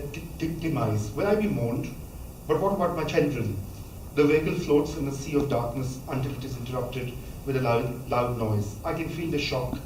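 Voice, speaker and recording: A man's voice: mid-range voice, a little mellow, slight background noise. Man reading in an echoey hall. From Pakistan. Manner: measured reading with small stumbles and restarts. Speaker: author reading from his book. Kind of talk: reading a memoir passage aloud. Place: college lecture hall. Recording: echoey hall, voice from a distance, faint ambience.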